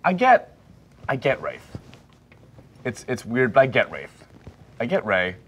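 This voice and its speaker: A man's voice: mid-range voice, huge pitch swings, unhurried, a bit bright, a little hiss or hum. A man playing a tabletop roleplaying game, talking in character in an exasperated, pressing way. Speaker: player.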